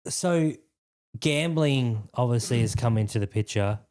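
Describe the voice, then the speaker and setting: A man's voice: mid-range voice, lively intonation, measured pace, a little mellow. An Australian man hosting a podcast, asking questions in an informal, bantering, chatty way. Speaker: podcast host. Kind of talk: casual chat, interviewing.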